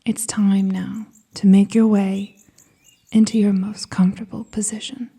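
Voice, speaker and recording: A woman with a soft, breathy, whispery voice guiding a scripted meditation in a soothing, gentle manner with deliberate pauses. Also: medium pitch, little pitch movement. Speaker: hypnosis and meditation guide.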